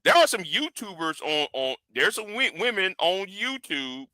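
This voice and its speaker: A man's voice: sits high, very animated, measured pace, sharp tone, no echo. A man in his forties, a live stream host, rambling in casual commentary with lots of stammered repeats. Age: 40s.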